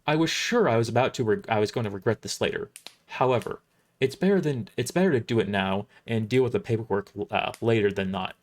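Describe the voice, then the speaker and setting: A man's voice: lowish voice, fairly quick. A man reading first-person science fiction narration live, now and then stumbling over the script and rereading words. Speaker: storyteller.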